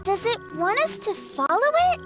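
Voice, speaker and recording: A woman's voice: very high-pitched, sing-song, moderate pace, over loud music. A woman voicing an earnest, determined young girl in an anime video game.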